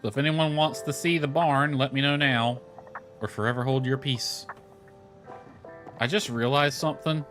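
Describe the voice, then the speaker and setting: A man's voice: mid-range voice, fairly even intonation, normal speed, pauses often, fairly bright tone. A man giving casual, rambling livestream commentary as he plays a game, thinking aloud as he goes. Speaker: game streamer. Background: background music.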